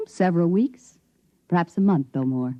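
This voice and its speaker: An actress playing an ambitious, coolly persuasive scientist, formal and insistent, in a 1950s radio drama. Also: female voice, fairly low pitch, normal speed, no room sound, muffled recording, lightly acted. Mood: insistent, controlled.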